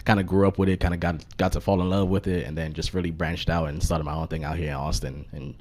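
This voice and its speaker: A man's voice: sits low, little pitch movement, quick pace, a little mellow. A man from Cameroon chatting casually as a podcast guest, in laid-back slang with repeated filler phrases. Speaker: podcast guest, MC and event host. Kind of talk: casual interview chat.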